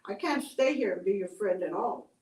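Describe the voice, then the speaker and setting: A woman's voice: mid-range voice, expressive, moderate pace, a little mellow, reverberant, recorded from a distance, lightly acted, poor audio. A woman voicing a busy, dismissive coyote.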